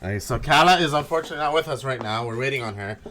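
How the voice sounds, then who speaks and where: A man's voice: mid-range voice, rapid-fire, pushed and bright. A man hosting a group voice-acting game stream, chatting casually with friends and reading out game dialogue.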